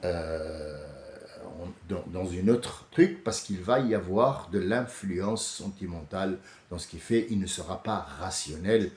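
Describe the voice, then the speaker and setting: A French man hosting a casual monologue, animated and often restarting, rising to heated outbursts. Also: low-pitched, unhurried. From France. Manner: many restarts and hesitations. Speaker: host. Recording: echoey room.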